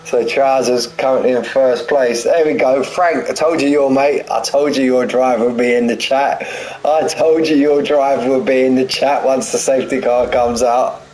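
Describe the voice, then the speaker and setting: A man's voice: mid-range voice, steady tone, normal speed, darkish tone, slight background noise, poor audio. A man in his forties calling a sim race live, chatty and jokey, repeating phrases and bantering with his viewers between the action. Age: 40s. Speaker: sim racing commentator and streamer. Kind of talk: live race commentary mixed with banter with chat.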